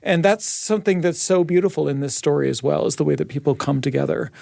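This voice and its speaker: A man's voice: a little high, fast talker, gravelly, dark tone, no echo, studio quality. A man talking casually and reflectively as a panelist on a radio show. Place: radio studio.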